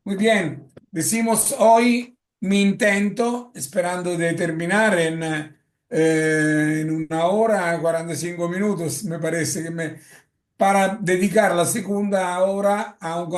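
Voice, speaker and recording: A man with an Italian accent gives a lecture in Spanish, often halting with fillers and correcting himself. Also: high-pitched, fairly even intonation. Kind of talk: lecture presentation. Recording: echoey room.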